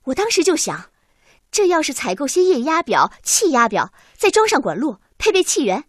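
A keen young female engineer in a radio drama. Her voice is fairly high and lively, explaining things eagerly and respectfully in articulate sentences. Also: normal speed, no room sound, studio quality. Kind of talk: Chinese radio drama acting.

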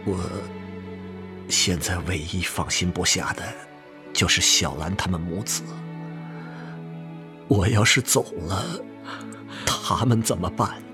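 An actor playing a gravely ill, resigned army man in a radio drama, speaking haltingly and weakly. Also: low-pitched, over music. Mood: earnest, pleading. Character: gravely ill older army friend, weary, resigned, earnest. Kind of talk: broadcast radio drama acting.

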